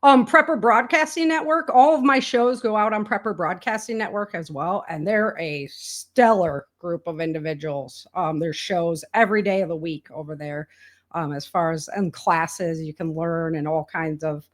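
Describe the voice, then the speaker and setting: A woman's voice: lowish voice, moderate pace. A woman speaking as an interview guest in a casual, spontaneous conversation, colloquial and emphatic, voicing other people's remarks as she goes. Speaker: interview guest, author and podcaster on survival prepping.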